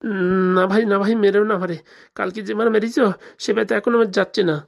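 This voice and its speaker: A woman's voice: medium pitch, steady tone, fast, creaky, lightly acted. A woman voicing a boastful but cowardly village boy who grumbles colloquially in a comic cartoon. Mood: timid.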